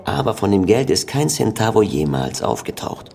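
A man's voice: on the low side, big pitch swings, normal speed, gravelly, warm tone, a little hiss or hum. An actor playing a matter-of-fact policeman giving a clipped, official report in a radio drama. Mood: factual, speculating. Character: matter-of-fact male police colleague briefing a detective.

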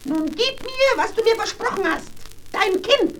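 A man playing a gleeful, spiteful little goblin in a fairy-tale radio play, with a shrill cackling voice and a taunting, gloating delivery. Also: lively intonation, a little slow, fairly bright tone, slight background noise, heavily acted. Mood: gloating, demanding.

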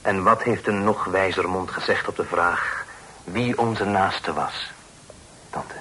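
A courteous, diplomatic nephew in an old radio drama. His voice is bright and forward, formal and deferential, with faint hiss behind it. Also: sits low, takes it slowly, full character voice. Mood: gently persuasive. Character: tactful, polite nephew soothing his pious aunt. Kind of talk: Dutch radio play acting. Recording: hissy old radio play recording.

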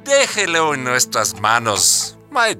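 An actor playing a smug, smooth-talking foreign mining manager in a radio drama, dropping English phrases into his Spanish. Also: mid-range voice, wildly swooping pitch, slow pace, bright, forceful tone, soft background music, exaggerated voice. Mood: smugly reassuring. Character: oily, smugly reassuring foreign mining company manager.

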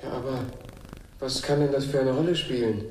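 An actor playing a timid, insecure petitioner in his thirties, halting and plaintive, in a radio satire. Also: mid-range voice, darkish tone, natural voice. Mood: anxious, pleading. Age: 30s. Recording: echoey room, far off, faint noise.

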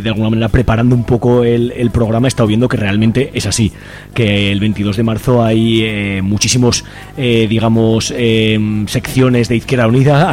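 A man's voice: medium pitch, a little mellow. A male radio host with a Spanish accent from Spain and a mid-range voice. He talks conversationally and discursively, often tacking on little tag questions as he interviews.

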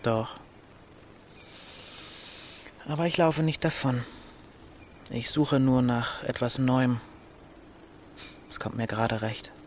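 A man's voice: medium pitch, a little slow, natural voice. A teenage boy, played by a man, restless and defiant, with a mid-range voice. He is pragmatic, in radio-play style, with faint ambient noise behind him. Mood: defiant, visionary. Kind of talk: German radio drama acting.

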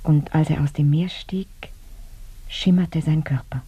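A woman acting in a German radio play as a grieving, sensual dead woman, murmuring dreamily with a breathy half-whisper. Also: low voice, steady tone, a little slow, mellow, full character voice. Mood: dreamy, sensual.

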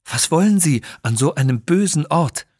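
Man voicing a pleading young Chinese girl, raised pitch. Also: animated, normal speed, darkish tone, husky, no room sound, studio quality. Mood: earnest, concerned. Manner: polite, earnest. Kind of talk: children's audio story acting.

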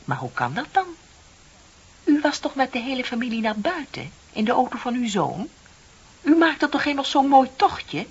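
An actress playing a bitter, lonely middle-aged office worker in a radio play, confiding and sardonic with dramatic emphasis. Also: female voice, high-pitched, very animated, moderate pace, breathy edge, exaggerated voice. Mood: accusing, insistent. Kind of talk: Dutch radio play acting. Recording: dull, hissy old radio recording.

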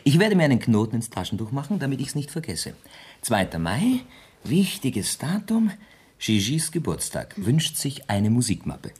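Radio drama casting: rich bachelor, slightly rough husky voice, animated melody, aggrieved yet wry. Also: male voice, medium pitch, normal speed. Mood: teasing, wry. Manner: theatrically put-upon, wryly teasing. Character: worldly, wry, aggrieved wealthy Parisian bachelor. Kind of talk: 1970s German radio play acting.

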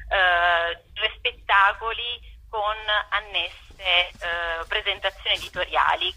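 A woman's voice: fairly low pitch, pushed and bright, slightly rough, rough recording. A woman giving explanatory interview answers over the telephone, hesitating as she searches for words. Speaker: festival artistic director, interview guest.

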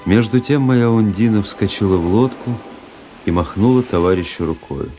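An actor playing a wry, melancholy army officer who narrates his story in a measured, reflective radio-play style. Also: fairly low pitch, mellow, soft background music, close to an everyday voice. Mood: wistful, reflective. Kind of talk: dramatic literary radio narration.

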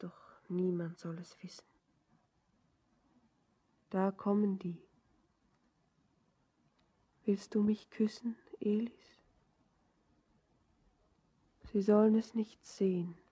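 An actress playing a despairing, devoted young bride in a poetic radio drama, speaking in halting whispers. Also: female voice, mid-range voice, dark tone. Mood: despairing tenderness. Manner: breathy, halting, whispered verse delivery. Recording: dull lo-fi radio recording.

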